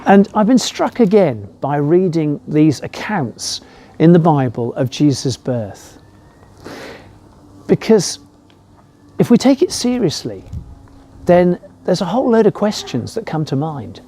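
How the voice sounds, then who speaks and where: A man giving a reflective sermon as a rector, speaking in a measured way with rhetorical questions. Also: on the high side, sing-song, dark tone. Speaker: rector preaching a Christmas message.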